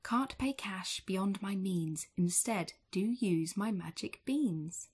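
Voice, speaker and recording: A woman voicing a gentle lady in a storybook, speaking softly and in a hushed way. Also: mid-range voice, animated, slow pace, slightly rough. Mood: coaxing. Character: gentle woman bargaining with a boy.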